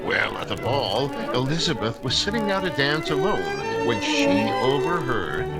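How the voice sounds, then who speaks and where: A man's voice: mid-range voice, very animated, measured pace, loud music behind the voice. A man announcing and narrating in a theatrical 1940s radio style.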